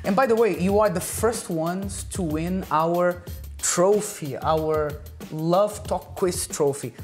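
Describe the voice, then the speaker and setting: A woman's voice: on the low side, slow pace, a little mellow, faint music behind. A woman hosting a talk show, upbeat and full of questions for her guests.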